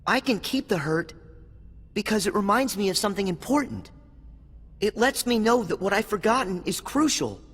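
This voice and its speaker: A man's voice: high voice, animated, moderate pace, fairly bright tone, husky, exaggerated voice. A man playing an earnest, kindhearted teenage boy hero in a video game cutscene, sincere and resolute. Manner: sincere, resolute, youthful delivery. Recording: music behind the voice.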